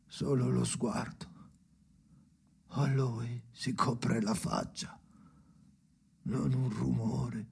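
An actor playing a weary, anguished old man in an experimental radio drama monologue, speaking in halting fragments with a gravelly voice. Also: medium pitch, dark tone, exaggerated voice. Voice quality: creaky, gravelly. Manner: halting, fragmentary phrases with heavy pauses. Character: weary, anguished old man, haunted storyteller alone by the sea.

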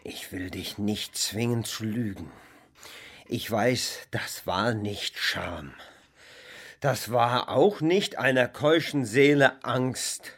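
An anguished, self-loathing man in his thirties in a theatrical radio drama. He speaks slowly and haltingly in a husky voice, brooding and bitter. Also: medium pitch, fairly bright tone. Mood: bitter, accusing. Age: 30s.

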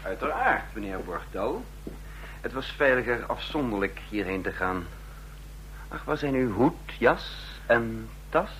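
An actor playing a cool, cunning secret agent in a radio drama, measured and ironic. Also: male voice, medium pitch, sing-song, a bit bright. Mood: suave, ironic. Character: cool, cunning male secret agent, smooth-talking and mercenary. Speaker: lead actor and narrator. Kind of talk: Dutch radio drama acting. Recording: noisy vintage radio recording with hum.

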